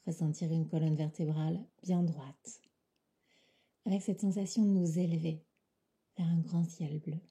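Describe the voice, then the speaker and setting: A woman leading a guided meditation in a soft, calm, soothing voice that often drops to a near whisper, with unhurried phrasing. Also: low voice, slightly rough. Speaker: yoga nidra guide.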